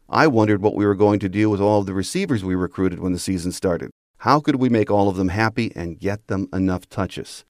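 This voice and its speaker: A man's voice: fairly low pitch, a little mellow, dry recording, clean studio sound. A man hosting a sports podcast, delivering a scripted commentary monologue. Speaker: podcast host.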